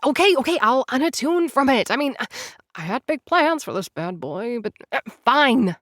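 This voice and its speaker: A woman's voice: high-pitched, sing-song, normal speed, a bit bright, no room sound, studio quality. Wry, flustered woman narrator. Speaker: time-traveler narrator addressing listeners. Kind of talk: audio drama narration and acting.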